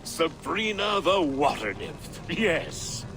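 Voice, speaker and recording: An actor playing a reverent, eccentric druid in a video game, speaking in a ceremonious, archaic, questioning way. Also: male voice, on the high side, sing-song, slow, over music, full character voice. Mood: solemn, invoking. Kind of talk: theatrical game voice acting.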